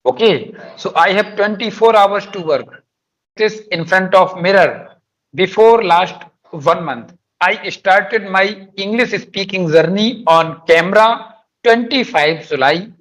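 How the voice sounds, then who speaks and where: A man's voice: high-pitched, slow, a bit bright, poor audio. A man with an Indian accent practicing conversational English, speaking hesitantly and repeating his words as he goes. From Madhya Pradesh, India. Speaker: guest, police officer learning English.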